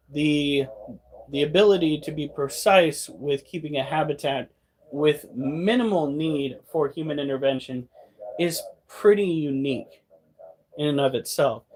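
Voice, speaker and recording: A man's voice: on the high side, poor audio. A man in his thirties hosting a pet channel, talking casually and bluntly, breaking into profane rants. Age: 30s. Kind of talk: casual monologue.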